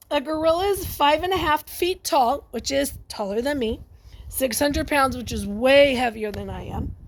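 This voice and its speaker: Lady reading a picture book to little kids, high voice, takes it slow, lots of exclaiming and asking questions. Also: slight background noise. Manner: exclamatory, expressive, asks listeners questions. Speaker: teacher reading a picture book aloud to children. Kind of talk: animated read-aloud to young children with asides.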